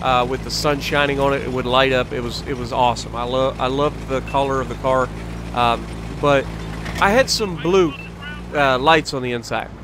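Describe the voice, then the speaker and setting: A man's voice: medium pitch, fairly even intonation, moderate pace, noisy background. An American man telling a rambling personal story as he casually commentates over gameplay in a conversational way. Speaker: gaming video host.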